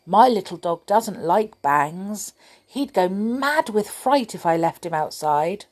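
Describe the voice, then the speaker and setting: A woman voicing Cheery One, an eager little villager from a storybook, with bright excited speech. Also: mid-range voice, slow.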